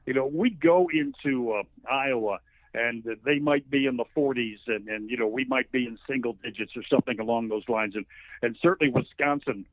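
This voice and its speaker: A man's voice: medium pitch, fairly quick, dry recording. A man in his sixties comes through a thin, phone-like connection with a dry, close sound. He is a sports announcer giving an interview, telling stories in a conversational, folksy and wordy way. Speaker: radio play-by-play sports announcer, interview guest. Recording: narrow phone-like line.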